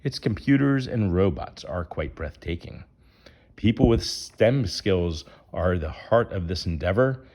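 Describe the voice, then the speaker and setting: A man's voice: deep voice, lively intonation, measured pace, darkish tone. A man reading a rhyming children's picture book aloud as its narrator.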